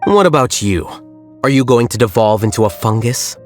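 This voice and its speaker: An actor playing an aloof, blunt young scholar who speaks in a dry, matter-of-fact way in a game cutscene. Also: male voice, mid-range voice, lively intonation, normal speed, soft background music, close to an everyday voice. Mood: cool.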